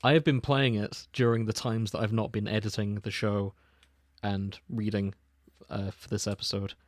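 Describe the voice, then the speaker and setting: A man's voice: lowish voice, level tone, moderate pace, no echo, clean studio sound. A man co-hosting a podcast in casual comic chat, rambling in a jokey, giggly way. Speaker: podcast co-host.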